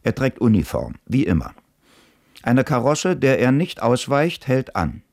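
A man narrating a radio drama in a measured, formal way. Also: medium pitch, huge pitch swings, a little mellow, no room sound, studio quality. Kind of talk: scripted narration.

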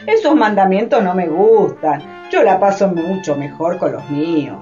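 A woman's voice: fairly low pitch, very animated, unhurried, mellow. A woman playing a spoiled, flippant rich young lady in a radio play, with an affected, dismissive way of talking. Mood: dismissive, fussy. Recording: dull lo-fi, faint music behind.

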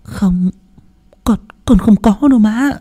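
A man's voice: high-pitched, takes it slowly, warm tone, voice pushed up. Man doing a meek stammering young wife. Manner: stammering, meek, repeats words. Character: timid stammering young daughter-in-law.

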